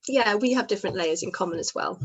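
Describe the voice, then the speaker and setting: Woman, interpreter voice, earnest, measured, mid-range pitch. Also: fairly bright tone, breathy edge, dull audio.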